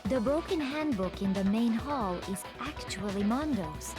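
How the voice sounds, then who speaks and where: A woman playing a cool, logical high-school girl in a fan-dubbed mystery game, deducing in a deliberate way. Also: lively intonation, over music. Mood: composed, deductive. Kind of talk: fan-dubbed visual novel dialogue.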